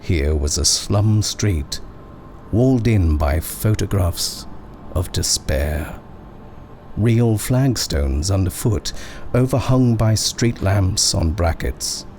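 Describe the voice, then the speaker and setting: A man reading a creepy short story as a measured, deliberate narrator with dramatic pauses. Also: deep voice, lively intonation, a little mellow, a little hiss or hum.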